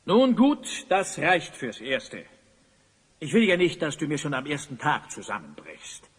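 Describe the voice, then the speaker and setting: An evil sorcerer rules his audio drama scenes with pompous, theatrical commands. The voice sits fairly high and swings wildly between gloating and barking, ringing through a long reverb. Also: big pitch swings, measured pace, husky, heavily acted. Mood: stern. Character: tyrannical, gloating evil sorcerer, Master of Time. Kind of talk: German audio drama acting.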